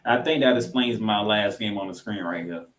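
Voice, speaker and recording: A man's voice: on the low side, moderate pace, fairly bright tone. A man co-hosting a sports podcast, giving football recaps with emphatic, announcer-like delivery of scores and stats mixed with casual banter.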